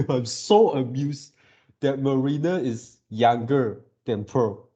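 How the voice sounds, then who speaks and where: Male fan commentator, mellow and unhurried, halting delivery that circles back on words. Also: medium pitch, lo-fi sound. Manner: halting, repeats words and searches for phrasing. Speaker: video creator reacting to a game series. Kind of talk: casual fan reaction commentary.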